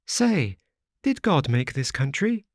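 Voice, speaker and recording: A man's voice: sing-song, takes it slowly, dry recording, clean studio sound, heavily acted. A man voicing a chatty, innocent little pioneer girl in a raised, childish voice for an audiobook. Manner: high, bright childish voice.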